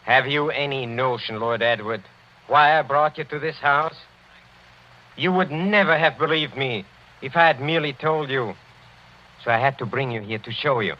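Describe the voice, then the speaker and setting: An actor playing an agitated, sardonic aristocratic count in a theatrical 1940s radio drama style. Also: male voice, medium pitch, normal speed, lightly acted. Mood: insistent. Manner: theatrical, taunting. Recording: dull old radio broadcast recording.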